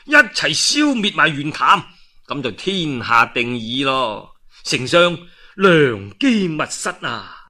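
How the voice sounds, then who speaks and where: Man voicing a measured, calculating military strategist. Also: medium pitch, sharp tone, heavily acted. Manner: measured, reasoning, emphatic. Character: calculating male military strategist counselling his lord.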